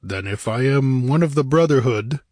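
A man playing a stern, fierce barbarian warrior in a fantasy audiobook, gruff, imperious and challenging. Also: medium pitch, expressive, normal speed, darkish tone, no echo, studio quality, voice pushed up, close to an everyday voice. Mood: commanding. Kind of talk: dramatic fantasy audiobook character.

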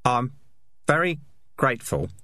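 A man's voice: fairly low pitch, big pitch swings, unhurried, bright, forceful tone, dry recording, full character voice. Storybook rat veteran, played by a man: male, winded, careful, brusque and down to earth. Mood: dismissive, wheezing. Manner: careful, wheezing. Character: gruff, matter-of-fact male rat fighter, recovering his breath.

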